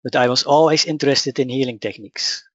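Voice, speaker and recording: Dutch-accented male speaker, mid-pitched, calm and measured; dry, slightly muffled recording. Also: no room sound. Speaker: spiritual teacher. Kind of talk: personal account and teaching talk.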